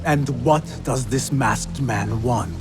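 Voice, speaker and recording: A man's voice: mid-range voice, takes it slowly, music in the background, natural voice. A man playing a determined, controlled young assassin in a video game, earnest and probing. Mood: stern interrogation. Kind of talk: video game character acting.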